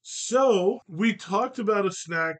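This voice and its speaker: A man's voice: sits high, takes it slowly. A man hosting a podcast, leading a casual, jokey chat with friends. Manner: jokey and upbeat, leads the talk. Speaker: podcast host.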